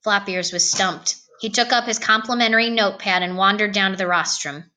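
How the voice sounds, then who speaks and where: A woman reading a novel aloud in an expressive storytelling style. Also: mid-range voice, fairly quick, a little hiss or hum. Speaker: storybook reader.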